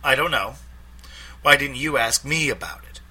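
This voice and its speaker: A man's voice: medium pitch, expressive, normal speed, bright, forceful tone, husky, voice pushed up, full character voice, low-quality recording. A man doing a bored, cold woman. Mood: curt. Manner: curt and cold. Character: bored, distrustful female costume designer.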